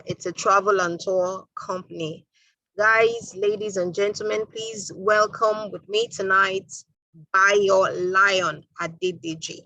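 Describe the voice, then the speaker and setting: A woman hosting a live online interview, upbeat and lively, with fillers. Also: mid-range voice, dry recording, rough recording. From Nigeria. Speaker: host.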